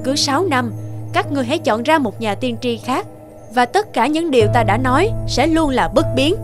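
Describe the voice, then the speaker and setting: An actress playing a solemn, commanding supreme goddess in an animated fairy tale. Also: female voice, high-pitched, moderate pace. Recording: music behind the voice.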